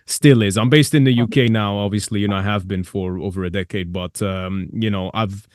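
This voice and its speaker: A man's voice: lowish voice, fairly even intonation, brisk, no echo, clean studio sound. A man from Iran hosting a podcast, talking casually in an earnest, emphatic way. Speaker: podcast host. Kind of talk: casual interview talk.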